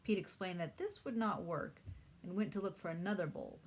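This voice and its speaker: A woman's voice: lowish voice, moderate pace. A woman reading a children's storybook aloud expressively, as a teacher does for her class.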